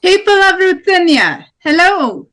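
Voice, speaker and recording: A woman's voice: very high-pitched, very animated, a little slow, a bit bright, heavily acted. A woman playing a hardline nationalist female presidential candidate, declaiming a campaign speech from a script. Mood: strident, assertive. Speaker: actor in an amateur table read.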